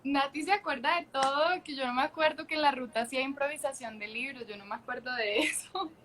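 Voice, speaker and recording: A woman's voice: high-pitched, quick pace, a bit bright. A young Colombian woman with a Colombian accent, a guest actress talking candidly on a video call and laughing often. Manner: chatty and candid, laughs often, many restarts. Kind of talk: casual interview chat.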